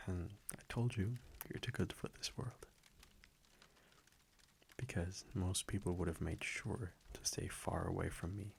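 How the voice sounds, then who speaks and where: Very deep, slow vampire boyfriend voiced by a man. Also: creaky, full character voice. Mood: tender, grateful. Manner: soft, unhurried, affectionate, gently teasing. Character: tender, teasing immortal vampire boyfriend. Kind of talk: intimate ASMR audio roleplay. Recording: faint background noise, crackling fireplace ambience.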